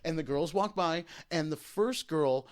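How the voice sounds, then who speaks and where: High-voiced man rambling through stories. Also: unhurried. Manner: rambling, profane, repeats "like". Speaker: podcast co-host. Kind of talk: casual comedic storytelling.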